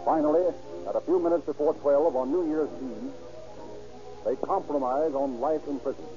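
A man reads a 1930s radio crime drama with a measured, declamatory delivery, and his voice sits a little high. The recording is muffled and old, and music plays under him. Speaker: narrator. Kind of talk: scripted dramatic narration.

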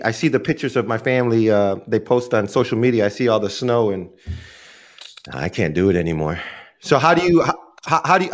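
Chicago guy cohosting, bouncy up and down voice, trips over his words. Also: medium pitch. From Chicago, USA. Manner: animated, stumbling restarts. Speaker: podcast co-host. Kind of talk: casual interview questions.

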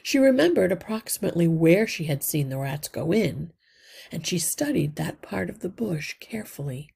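Lady reading a kids book aloud, really raspy and creaky, takes her time, gets hushed at phrase ends. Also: lowish voice, animated, normal speed. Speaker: read-aloud podcast narrator. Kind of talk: expressive children's storybook reading.

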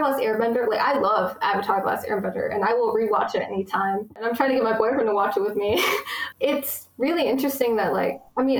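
A woman's voice: a little high, roomy sound, poor audio. A young woman from the United States, talking casually and eagerly, with many fillers. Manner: chatty, many fillers, enthusiastic. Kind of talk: casual conversation.

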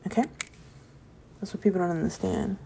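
A young woman hosting a solo podcast late at night, chatting casually and rambling about staying positive, her voice getting raspy. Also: on the low side, fairly quick, mellow, a little hiss or hum. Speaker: podcast host.

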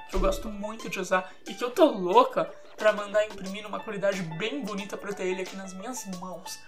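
A woman with a Brazilian accent hosting a podcast in a casual, chatty, informal and playful way. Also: mid-range voice. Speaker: podcast host.

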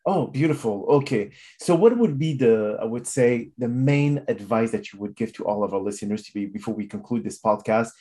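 A man's voice: on the low side, dark tone. A man hosting an interview podcast in a formal, effusive and motivational manner. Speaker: podcast host.

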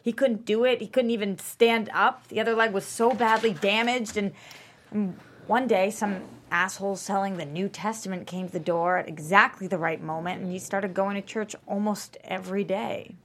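An actress playing an anguished, guilt-ridden wife in a dramatic script read, speaking in a halting, naturalistic way. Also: female voice, mid-range voice, steady tone, a little hiss or hum, lightly acted. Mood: weary, pained. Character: anguished, guilt-ridden wife caring for her sick friend.

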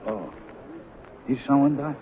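A man playing an excitable, blunt lunch-counter boss in an old-time radio drama. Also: mid-range voice, a little slow, close to an everyday voice. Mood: puzzled concern. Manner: blunt, colloquial, questioning. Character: excitable, baffled male lunch-counter boss, kindly but impatient. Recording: narrow tinny old radio, faint hiss.

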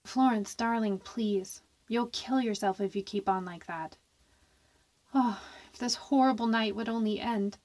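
A woman's voice: fairly high pitch, unhurried, darkish tone. A woman playing a guilt-ridden, defiant young woman in a horror radio drama, with an emphatic, theatrical delivery. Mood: anguished guilt. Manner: theatrical, emphatic, repeats phrases.

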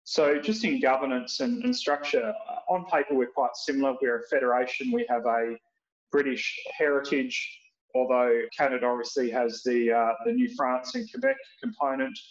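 A man with an Australian accent talks through policy comparisons in a measured, explanatory way, pausing often on fillers and restarting phrases. His voice sits at a middling pitch. The sound is roomy and a bit dull and lo-fi. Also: lively intonation. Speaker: podcast guest, policy researcher. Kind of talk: prepared remarks on a podcast.

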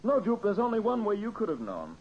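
An actor playing a brisk young amateur detective and host in an old-time radio mystery, methodical and politely exasperated. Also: male voice, high voice, wildly swooping pitch, heavily acted. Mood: accusing. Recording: dull, hissy old radio recording.

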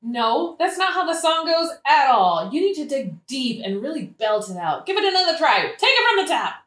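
A woman's voice: sits high, very animated, normal speed, fairly bright tone. A woman playing a crazed, drunken young woman in a satirical audio play, rambling and cajoling like a showbiz agent. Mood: manic, cajoling. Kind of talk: satirical modern-language audio play acting. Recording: roomy, echoing audio-play recording.

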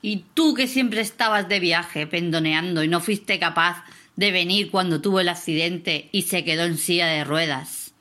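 A woman's voice: lowish voice, normal speed, bright, forceful tone. Woman playing an aggrieved, catty Spanish sister. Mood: indignant. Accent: Spain. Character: indignant, bickering daughter of a rich family. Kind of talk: amateur radio soap acting.

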